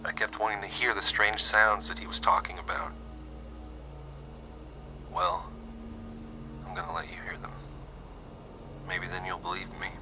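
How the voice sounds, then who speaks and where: Male actor as a deep-voiced, paranoid soldier whispering on a dull, hissing tape. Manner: tense hushed whisper. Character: paranoid young male army specialist on tape. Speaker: soldier recording a warning tape. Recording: muffled noisy tape recording.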